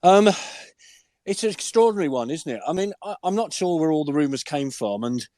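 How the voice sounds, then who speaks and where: Older hoarse man, enthusiastic football chat. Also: fairly high pitch, expressive, brisk, dark tone, dry recording, studio quality. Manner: chatty, enthusiastic, rhetorical questions. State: hoarse, with a cold-deepened voice. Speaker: guest pundit and broadcaster. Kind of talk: casual podcast chat.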